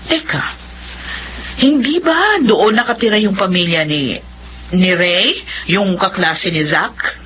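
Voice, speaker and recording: Sharp, animated wealthy Filipino wife. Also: female voice, mid-range voice, slow pace, long pauses. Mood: wary, questioning. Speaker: radio drama actor. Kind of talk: Filipino radio drama acting. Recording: dull, faintly noisy radio recording.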